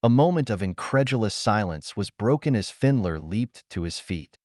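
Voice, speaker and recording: Low-pitched, expressive male audiobook narrator. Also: normal speed, no echo, studio quality. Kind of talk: scripted dramatic story narration.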